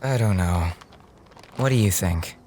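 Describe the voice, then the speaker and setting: A woman's voice: extremely low pitch, measured pace, mellow, a little hiss or hum. A woman voicing a nerdy college-age boy, earnest and hesitant, in a scripted audio drama. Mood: dejected, confiding.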